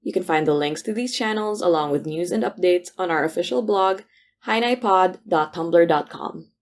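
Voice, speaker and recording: Lower-pitched woman reading podcast credits. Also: normal speed. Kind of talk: scripted announcement, upbeat greeting.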